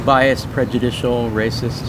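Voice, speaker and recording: A man's voice: flat delivery, a little slow. A middle-aged man hosting a literary conversation outdoors with probing questions. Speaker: host, interviewer. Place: garden bench outdoors. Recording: outdoors with clear urban rumble.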